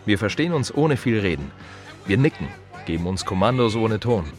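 An actor playing a plain-spoken, reflective gravedigger in a radio play monologue, talking to the listener in short, repetitive, wry phrases. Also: male voice, fairly low pitch, big pitch swings, moderate pace, faint music behind. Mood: calm, wry.

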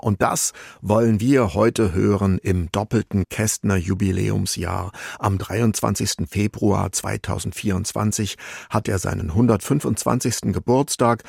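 A man's voice: lowish voice, creaky, a little mellow, breathy edge, dry recording, studio quality. A man presenting a radio music programme in a scripted, narrating style. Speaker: radio music show host.

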